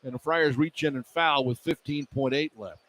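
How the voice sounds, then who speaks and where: A man calling live basketball play-by-play for radio in clipped running descriptions that can rise into excited calls. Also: mid-range voice, normal speed. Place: indoor arena at a live game. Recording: live radio broadcast with arena noise. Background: arena noise.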